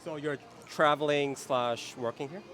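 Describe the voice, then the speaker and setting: A man's voice: a little high, fairly even intonation, unhurried, a bit bright, slight background noise. A man hosting a street interview channel, asking passersby short upbeat questions outdoors on a busy city street. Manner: brief, upbeat prompts.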